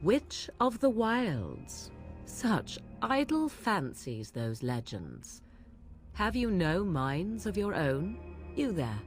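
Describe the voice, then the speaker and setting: Game voice: slow, haughty witch of the wilds, archaic speech, lilting swings in pitch. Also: very animated, soft background music. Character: aloof mocking young witch woman of the wilds. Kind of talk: video game voice acting.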